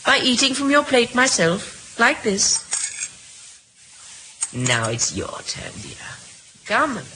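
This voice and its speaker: A woman's voice: fairly high pitch, huge pitch swings, slow pace, long pauses, pushed and bright, heavily acted. An actress playing an imperious, fussing older mother-in-law in an old radio drama, coaxing and commanding. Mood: coaxing, insistent. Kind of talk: 1940s-50s radio acting. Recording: noisy old radio recording.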